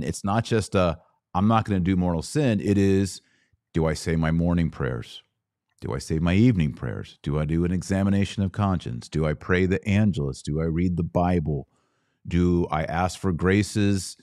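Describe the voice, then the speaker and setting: A very low-voiced man hosts a podcast and urges his listeners on. He runs through question after question. The sound is close and dry. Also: darkish tone, dry recording. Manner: exhortative, lists questions one after another. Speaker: podcast host. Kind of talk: podcast conversation.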